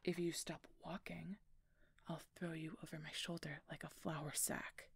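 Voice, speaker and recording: Woman acting as a gruff lady werewolf knight, lowish super raspy growly voice, dry n teasing, protective, fantasy roleplay audio. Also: level tone, measured pace, exaggerated voice. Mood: sardonic, stern. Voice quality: husky, gravelly. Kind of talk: roleplay audio drama, speaking to the listener.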